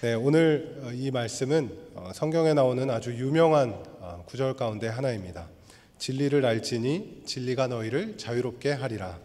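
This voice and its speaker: A man preaching a sermon as pastor, his voice hoarse, speaking in a measured, explanatory way and leading the congregation through a reading. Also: mid-range voice, darkish tone. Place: church sanctuary. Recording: long hall reverb.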